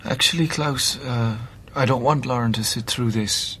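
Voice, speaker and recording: A man's voice: medium pitch, normal speed, fairly bright tone, a little hiss or hum, lightly acted. Actor as wry, resolute Irish man. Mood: resolved, protective. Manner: informal, dryly joking, decisive. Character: wry, resolute Irish man who has chosen to die. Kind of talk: radio play acting.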